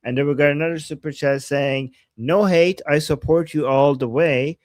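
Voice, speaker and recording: A man's voice: mid-range voice, no echo. Chuckling man reading viewer chats, dry sound. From Iran. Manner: chuckling, halting, restarts sentences. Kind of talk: casual livestream chat.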